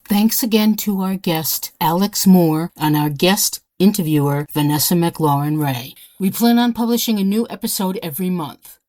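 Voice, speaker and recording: Low-pitched woman announcer, dry studio sound. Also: normal speed, darkish tone, no room sound. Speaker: podcast announcer. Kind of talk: scripted outro narration.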